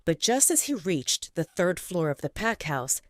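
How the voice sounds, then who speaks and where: A woman narrating a scripted audio drama in an expressive, intimate storytelling manner. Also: on the low side, unhurried, no room sound, studio quality.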